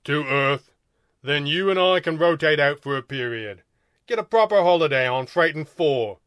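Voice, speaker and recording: A man's voice: fairly high pitch, animated, normal speed, a bit bright, smooth, no echo, clean studio sound. A man voicing an officious male alien who scolds emphatically and sticks to regulations, in a story reading. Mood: bossy.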